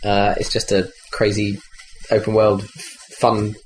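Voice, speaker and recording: Low-voiced rambling man, noisy recording. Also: completely flat delivery, measured pace. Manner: rambling, hesitant, sweary. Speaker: podcast host. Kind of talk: casual chat about video games. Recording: clearly noisy background.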